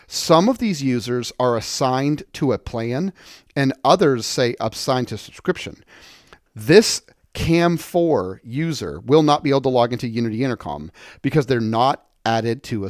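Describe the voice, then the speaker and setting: A man giving an unscripted software walkthrough, explaining step by step and often rephrasing his points. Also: medium pitch, animated, moderate pace, dry recording, clean studio sound. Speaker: software tutorial presenter. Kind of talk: unscripted screen-share walkthrough.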